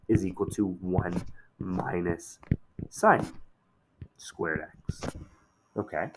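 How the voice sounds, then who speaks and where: A man teaching a math lesson in a relaxed, conversational way, slightly hoarse after a day of shouting, often checking in with "okay?". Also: low-pitched, a little hiss or hum, poor audio.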